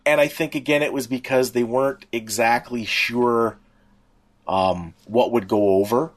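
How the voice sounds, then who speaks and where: A middle-aged man co-hosting a podcast, explaining things casually and a little haltingly as he thinks aloud. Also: medium pitch, steady tone. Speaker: podcast co-host.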